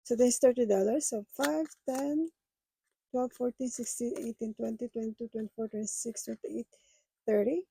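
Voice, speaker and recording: A woman whose first language is Filipino chats casually with viewers as a budgeting vlogger, speaking in a halting, hesitant way. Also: a little high, fairly even intonation, creaky, warm tone, slight background noise.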